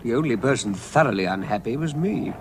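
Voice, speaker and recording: A man's voice: medium pitch, animated, a little fast, background noise, close to an everyday voice. An actor playing a gloomy, reluctant little hobbit, recalling his adventure wryly in radio drama narration. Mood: rueful. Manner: wry, rueful.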